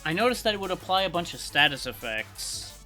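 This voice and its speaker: A man's voice: high-pitched, sing-song, fairly quick, bright, forceful tone. A man giving casual live commentary on a video game, talking to himself in a wry way. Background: video game music.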